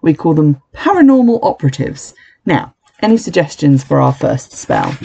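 A reader voicing a mysterious young woman teacher, quiet but firm and brisk, in a children's storybook. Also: mid-range voice, sing-song, vocal fry, slightly rough, full character voice, poor audio. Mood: brisk, authoritative.

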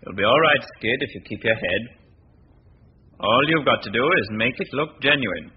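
An actor playing a commanding gang boss in a 1940s radio crime drama, with drawn-out, emphatic delivery. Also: male voice, mid-range voice, lively intonation, husky. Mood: brisk, commanding. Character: male gang boss called Doc, commanding, relishing secrets. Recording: dull, noisy vintage radio recording.

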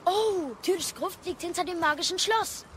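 A woman's voice: extremely high pitch, normal speed, a little hiss or hum. A woman playing an eager, defiant young boy, a warrior's son, with youthful, insistent delivery in a game audio drama. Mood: amazed, curious.

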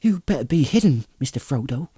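A man's voice: medium pitch, big pitch swings, brisk, mellow, husky, no echo, studio quality, pitched above the performer's own voice. A man voicing an anxious, loyal young hobbit servant with an urgent, humble, rustic manner in a storybook reading. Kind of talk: audiobook character voice.

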